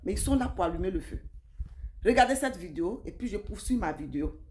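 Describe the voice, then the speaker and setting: A woman's voice: medium pitch, animated, a little fast, darkish tone, a little hiss or hum. A woman giving informal video commentary, speaking emphatically, repeating herself and addressing her viewers directly. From Africa. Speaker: social media commentator.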